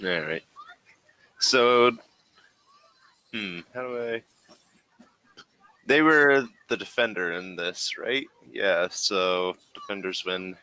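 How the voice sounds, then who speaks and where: Slow-talking man with a bright, low voice. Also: clear, resonant tone, low-quality recording. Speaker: game master. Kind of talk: casual tabletop game narration.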